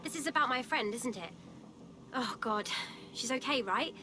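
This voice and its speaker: A woman's voice: fairly high pitch, lively intonation, moderate pace, lightly acted. An actress playing an anxious woman pleading for her friend in a video game. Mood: worried.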